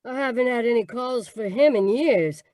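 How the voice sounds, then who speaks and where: A woman's voice: a little high, normal speed, darkish tone, no echo, studio quality, lightly acted. An actress playing a brassy, blunt, slangy woman in a radio mystery, offhand and given to hollering. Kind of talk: radio comedy-mystery acting. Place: live remote performance over video call.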